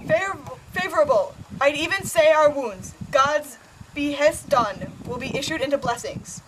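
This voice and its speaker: A woman's voice: sits high, animated, unhurried, background noise. A woman playing a king's brother who formally delivers a god's message in an amateur Greek tragedy. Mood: solemn.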